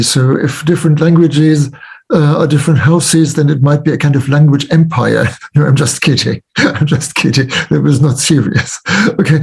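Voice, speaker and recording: Husky-voiced male panel moderator. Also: a little high, darkish tone. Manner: affable, jokey, many back-channel murmurs. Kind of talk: online panel discussion. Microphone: video call.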